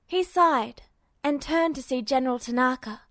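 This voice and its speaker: A woman's voice: sits high, normal speed, a bit bright, dry recording, clean studio sound. A woman reading scripted fiction aloud as a narrator. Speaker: amateur audiobook narrator.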